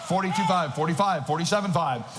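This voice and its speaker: A man working as an auctioneer, calling bids in a rapid rhythmic chant and shouting the numbers. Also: fairly high pitch. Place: large auction hall. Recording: noisy reverberant hall.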